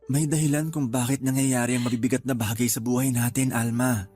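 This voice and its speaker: A man acting a patient, steadfast husband in a radio drama, earnest and gently reassuring. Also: mid-range voice, fairly even intonation, brisk, breathy edge, lightly acted. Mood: consoling. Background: music.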